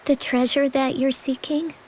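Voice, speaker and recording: An actress playing a gentle, timid young princess in a video game, speaking hesitantly and earnestly. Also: female voice, high voice, flat delivery, a little hiss or hum, close to an everyday voice. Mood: hesitant, worried. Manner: hesitant, halting.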